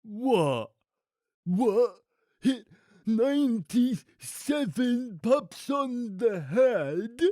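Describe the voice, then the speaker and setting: Woman putting on a slow, dopey henchman. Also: medium pitch, expressive, dark tone, no echo, studio quality, voice pushed up. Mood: shaken, pleading. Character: Sol Baden, dim, reluctant, lazy male henchman.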